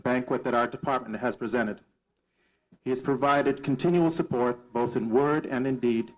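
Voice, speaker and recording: A man's voice: mid-range voice, rough recording. Man giving an earnest thank-you speech. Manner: earnest, read from notes. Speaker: music teacher. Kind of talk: formal ceremony speech.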